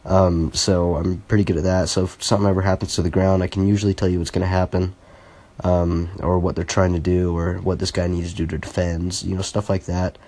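A man's voice: low voice, level tone, fairly quick, a little mellow. A teenage boy hosting his first solo podcast, talking casually and hesitantly with many fillers and restarts, earnest and a little self-conscious. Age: teens. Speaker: podcast host.

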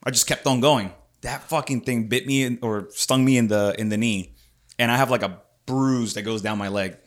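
A man's voice: medium pitch, normal speed. A man hosting a casual interview, laid-back and friendly, swearing freely as he banters with his guest. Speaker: podcast host.

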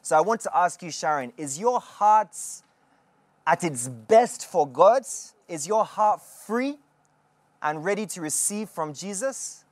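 Female TV prayer host; low, unhurried, sermon-like delivery with a dry studio sound. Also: animated, no room sound. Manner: measured, emphatic, slow sermon-like delivery. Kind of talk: preaching and interviewing callers. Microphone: studio microphone.

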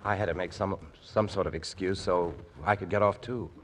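An actor playing a bashful, earnest young soldier, stammering and humble, in 1940s radio drama style. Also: male voice, sits low, fairly even intonation, normal speed, lightly acted. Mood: sheepish, earnest. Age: young adult. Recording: noisy old radio recording.

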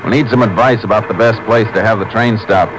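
An actor playing a calm, decisive Texas Ranger, terse and businesslike, in a 1950s radio drama. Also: male voice, on the low side, fairly quick, natural voice. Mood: calm, thoughtful. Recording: very noisy old 1950s radio recording.

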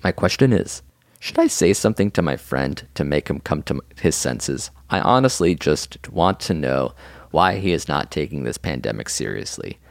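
A man's voice: low-pitched, no room sound, studio quality. A man in his thirties co-hosting a podcast in a casual, comedic chat, teasing his co-host, laughing often, and reading out a listener email. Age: 30s.

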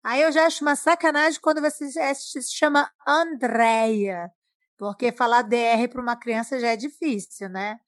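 A woman's voice: fairly high pitch, moderate pace, a bit bright, no echo, studio quality. A Brazilian woman in a casual conversation, chatty and explaining things through examples. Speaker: talk participant. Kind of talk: casual chat.

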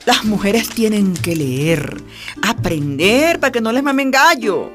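A woman's voice: medium pitch, big pitch swings, measured pace, over loud music. A woman playing a middle-aged Venezuelan activist and former teacher in a radio drama, speaking colloquially, earnestly and with emphasis. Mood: friendly, frank. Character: passionate middle-aged Venezuelan feminist leader and retired teacher.

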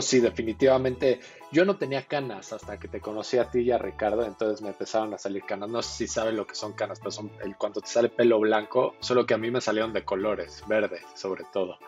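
A man's voice: fairly low pitch, dull audio. Joking Mexican male podcast host. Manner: joking, relaxed. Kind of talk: casual interview talk.

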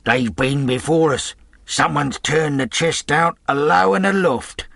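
Man playing rough pirate henchman, calling out loudly. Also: mid-range voice, lively intonation, slow, no room sound, clean studio sound, heavily acted. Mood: alarmed. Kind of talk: audiobook character voice.

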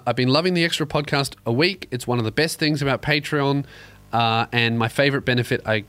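A man's voice: medium pitch, fairly bright tone, no room sound. A young man hosting a casual comedy podcast, crude and sardonic, reading listener emails aloud. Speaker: podcast host.

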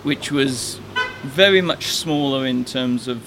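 A man's voice: medium pitch, slow, noisy background. A man with a British accent, raised in Africa, chatting casually as a podcast guest and rambling with fillers. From born in Scotland, raised in Zambia. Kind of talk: casual interview chat.

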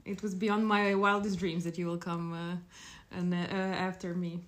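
A woman's voice: fairly low pitch, level tone, moderate pace. A woman in her thirties from Croatia, a podcast guest speaking in a casual, hesitant way with many fillers and restarts. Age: 30s. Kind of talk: casual interview chat.

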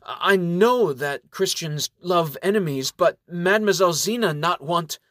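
A man reading a storybook voices a shy, submissive male elf who speaks halting broken English. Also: sits high, expressive, fairly bright tone, no echo, studio quality, pitched above the performer's own voice. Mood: unsure, stubborn.

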